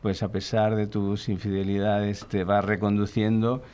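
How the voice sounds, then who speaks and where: A man in his sixties from Chile, answering interview questions in a thoughtful, hesitant way and often pausing to search for words. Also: on the low side, little pitch movement, a little mellow, smooth. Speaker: guest, father of a large family.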